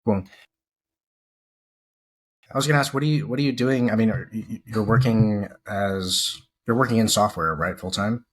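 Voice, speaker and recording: A male co-host with a somewhat low voice chats loosely on a podcast. He laughs easily and often stumbles, repeating words as he hunts for the thought. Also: normal speed, long pauses, husky, poor audio. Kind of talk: casual podcast chat.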